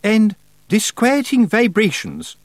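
A prim, fussy older lady, put on by a man reading a storybook, all high gushing false sweetness. Her voice swoops up and down widely. The sound is close and dry. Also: wildly swooping pitch, slow pace, no echo, exaggerated voice. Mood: falsely sweet.

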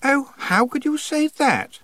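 A man's voice: high-pitched, huge pitch swings, unhurried, a bit bright, no room sound, voice pushed up, full character voice. A man voicing a haughty, easily flustered teenage witch girl in a prissy, exclaiming manner for a storybook. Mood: appalled.

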